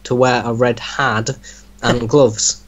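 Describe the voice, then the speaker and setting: A man with a mid-pitched voice reads a story aloud in an informal online session. He moves between storytelling and casual banter, poking fun at the text as he goes. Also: steady tone, slow pace. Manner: breaks off to mock the text he reads. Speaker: reader in an online reaction group. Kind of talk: dramatic reading mixed with casual banter.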